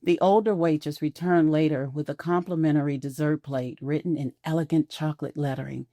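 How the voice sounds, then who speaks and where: A woman narrating an audio drama in a measured, intimate storytelling manner. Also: low voice, steady tone, mellow, no echo, studio quality.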